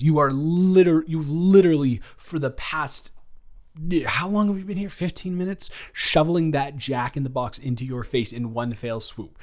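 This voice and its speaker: Man acting as young male best friend, medium-pitched voice, playful, flustered, stammering, mock-indignant banter, casual improvised audio roleplay. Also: close to an everyday voice. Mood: teasing.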